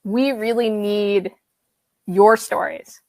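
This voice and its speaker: A woman's voice: medium pitch, steady tone, slow, a little mellow, no echo. A woman in her thirties from the American Midwest, an author-illustrator chatting casually on a video call, rambling with lots of fillers and restarts. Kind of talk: casual conversation. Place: home studio room with brick wall. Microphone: video call webcam.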